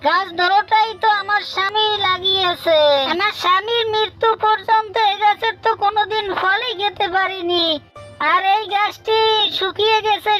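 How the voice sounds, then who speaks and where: A woman's voice: extremely high pitch, sharp tone, poor audio. A woman voicing quarreling female jackals in a cartoon, in a chiding manner. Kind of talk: cartoon voice acting. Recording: light background music.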